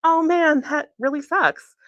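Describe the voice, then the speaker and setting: Woman voicing an awkward, flustered teenage girl, lifted pitch. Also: a little slow, fairly bright tone, dry recording, muffled recording. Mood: embarrassed rambling. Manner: flustered, rambling, self-deprecating. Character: awkward, eager teenage girl, easily embarrassed. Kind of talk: acted dialogue in a novel reading.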